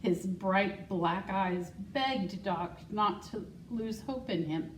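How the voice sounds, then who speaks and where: A woman reading a children's picture book aloud with measured, deliberate phrasing. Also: medium pitch. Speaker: school secretary, guest storybook reader. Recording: roomy, distant mic, faint noise.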